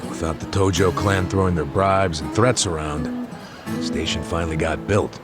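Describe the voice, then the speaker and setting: An actor voicing a jaded, streetwise middle-aged former detective in a video game, casual and sardonic, with a goading way of talking. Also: male voice, deep voice, moderate pace, natural voice. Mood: wry, blunt. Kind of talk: video game cutscene voice acting. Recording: dramatic music behind the voice. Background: loud background music.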